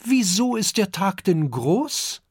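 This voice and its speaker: A bold little boy dragon from a storybook, cheeky and quick to answer back, his voice pitched high and eager, as a man puts him on. It swings lively up and down, with a gravelly, husky grain and a dry, close sound. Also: dry recording, full character voice. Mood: curious. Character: small excited boy dragon, cheeky and brave.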